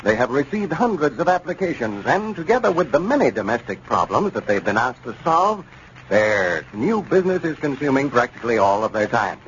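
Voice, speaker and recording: Animated 1930s radio announcer, man. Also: mid-range voice, brisk. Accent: American. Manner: polished, emphatic announcer delivery. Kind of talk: 1930s radio advertising and scripted narration. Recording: muffled, noisy 1930s radio recording.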